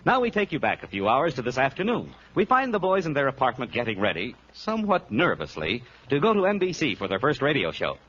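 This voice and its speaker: A man's voice: medium pitch, wildly swooping pitch, fast talker, few pauses, fairly bright tone, muffled recording. A man announcing a 1940s radio variety show in a formal, projected delivery. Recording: scratchy 1940s radio broadcast, music behind.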